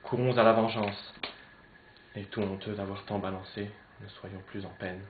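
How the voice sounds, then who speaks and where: A man's voice: lowish voice, little pitch movement, moderate pace, natural voice. Man performing anguished young nobleman, roomy sound. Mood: resolute. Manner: declamatory, swinging from hushed to loud. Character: anguished young Spanish nobleman torn between love and honour. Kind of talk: theatrical recitation of classical French verse monologue. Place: poetry club, live recitation. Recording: roomy, slightly dull live recording, noisy.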